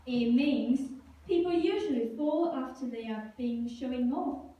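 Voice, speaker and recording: A woman's voice: high-pitched, expressive, measured pace, lo-fi sound. A woman presenting alongside a puppet in a scripted children's show, with a chiding, instructive way of talking. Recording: echoey room, distant mic, faint noise.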